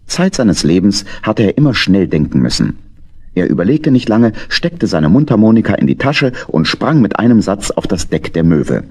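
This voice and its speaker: A man's voice: on the low side, big pitch swings, normal speed, darkish tone, breathy edge. A man narrating a children's audio drama in scripted storybook style.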